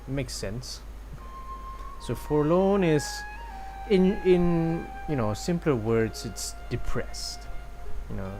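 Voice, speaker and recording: A man streaming a game in a casual, rambling way. His voice is medium-pitched, with clear game music behind him. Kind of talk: casual live game commentary, reading dialogue aloud.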